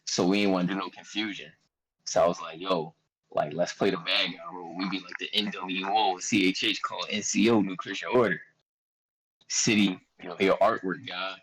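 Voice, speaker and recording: A man's voice: deep voice, fairly even intonation, brisk, crowd noise, low-quality recording. A young man with a Philadelphia accent telling a story in a casual chat, loose and slangy, often quoting himself. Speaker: guest artist.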